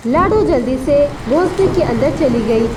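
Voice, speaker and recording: High-pitched woman narrating a children's story. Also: unhurried. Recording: distant voice with steady rain noise.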